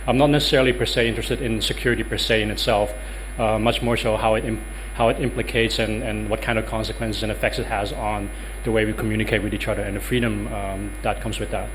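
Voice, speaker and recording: A man's voice: lowish voice, steady tone, fast talker, a little hiss or hum, rough recording. A man in his thirties with a Dutch accent giving a workshop talk in a conference room, hesitant with many ums and uhs. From Netherlands. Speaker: lecturer.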